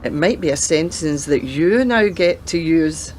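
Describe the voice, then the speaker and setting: A proud retired police officer played by an actor in a radio play. The delivery is deliberate and gently probing, like questioning a relative. Background noise is clearly audible. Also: medium pitch, expressive, natural voice. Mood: teasing, proud. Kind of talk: radio drama dialogue.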